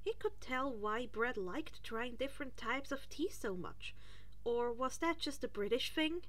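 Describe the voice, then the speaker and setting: Female story reader, high voice, very varied intonation, amateur audiobook narration. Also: animated, measured pace, a bit bright. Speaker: fan fiction audiobook reader.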